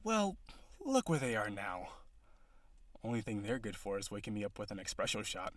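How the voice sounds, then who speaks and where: Smug corporate villain on a date, played by a man: teasing, sardonic, conversational, animated intonation, dry recording, flirty roleplay audio. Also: fairly low pitch, moderate pace, dry recording. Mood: exasperated, smug. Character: cocky flirtatious male businessman villain on a date.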